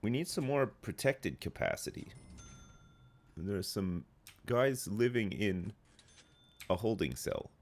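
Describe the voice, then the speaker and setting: A man's voice: fairly low pitch, sing-song, slow pace, pauses often. A man in his thirties streaming a game live, phlegmy and rambling as he answers chat. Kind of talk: casual live gameplay chat.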